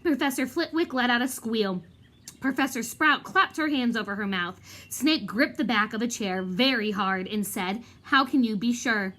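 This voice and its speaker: A woman reading a children's novel aloud like a teacher, in an expressive, dramatic storytelling manner. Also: fairly high pitch, moderate pace. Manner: animated, dramatic.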